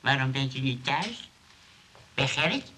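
A man's voice: medium pitch, lively intonation, measured pace, close to an everyday voice. A man playing a doddering, apologetic old grandfather in a radio comedy, hesitant and stammering. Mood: meek. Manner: hesitant, stammering, repeats himself. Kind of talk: Dutch radio comedy drama acting. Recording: noisy old radio play recording.

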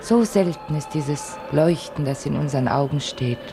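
A woman's voice: sits low, moderate pace, background noise. An actress in a radio drama playing an enigmatic, dreamy young Frenchwoman, speaking in an incantatory, intense way. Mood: awed. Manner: incantatory, repetitive, at times whispering. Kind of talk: literary radio drama acting.

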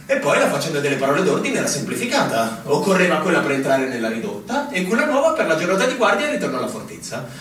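A man's voice: a little high, sing-song, fast talker, hardly any pauses, a crowd in the background. A man acting in a radio drama as an aggrieved, long-serving fortress soldier, emphatic and military in delivery. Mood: grumbling explanation. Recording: very echoey, distant, clearly noisy recording.

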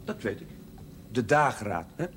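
Brief: actor as a calm Dutch priest, measured and gently stern, lively pitch. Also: male voice, a little hiss or hum, lightly acted. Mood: patient. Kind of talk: 1970s TV drama acting.

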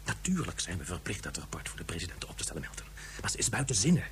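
An actor playing a worried, flustered government official in a radio play. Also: male voice, low voice, sing-song, quick pace, whispered. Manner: confidential, then panicky. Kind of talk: 1970s radio drama acting.

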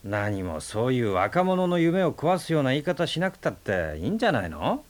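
An actor playing a calm, teasing man who lectures in a relaxed way about talk and existence, in a radio drama. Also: medium pitch, moderate pace, close to an everyday voice. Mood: bantering. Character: easygoing philosophical man, fond of debate. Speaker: storyteller and friend of the aunt.

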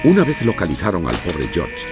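A man's voice: deep voice, huge pitch swings, normal speed, music in the background. A man narrating a scripted children's story with dramatic storytelling delivery.

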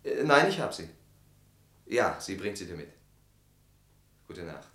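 An actor playing an uneasy, civil husband in a German radio drama, with a hesitant, politely formal way of talking. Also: male voice, sits low, big pitch swings, unhurried, a bit bright, roomy sound, full character voice. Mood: flustered.

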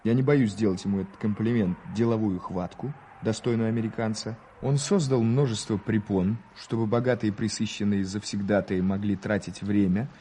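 A low, mellow male voice of an actor playing an ironic gentleman journalist in an old radio play. He is an eager, theatrical storyteller who declaims with emphasis. The tape has an audible hiss behind him. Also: steady tone, moderate pace, few pauses, lightly acted. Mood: emphatic, ironic. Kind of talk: 1980s Soviet radio drama acting.

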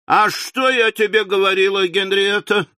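A man voicing a tearful, indignant middle-aged lady who reproaches her husband in a shrill, self-pitying way. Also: big pitch swings, a little slow, bright, forceful tone, no room sound, studio quality, full character voice. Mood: aggrieved wailing. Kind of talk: audiobook reading.

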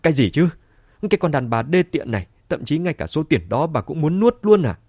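A man's voice: fairly high pitch, big pitch swings, fairly quick, darkish tone, breathy edge, no room sound, clean studio sound, voice pushed up, full character voice. A man voicing a vengeful female prisoner who spits angry, insulting accusations, in an audiobook. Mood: outraged.

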